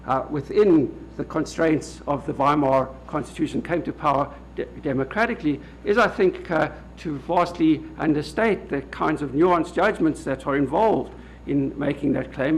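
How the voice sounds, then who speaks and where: South african guy giving a law lecture, kinda high voice, lots of uhs, faint hiss behind. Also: low-quality recording. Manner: measured, many hesitant "uh" fillers. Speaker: legal academic speaker. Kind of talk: formal lecture. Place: lecture hall at a public event.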